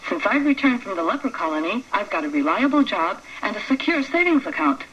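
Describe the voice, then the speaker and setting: A woman's voice: mid-range voice, very animated, brisk, fairly bright tone. A woman playing an earnest, cheerful bank customer in a spoof testimonial commercial, sincere and matter-of-fact. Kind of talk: parody testimonial ad read. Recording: dull lo-fi radio broadcast, faint noise.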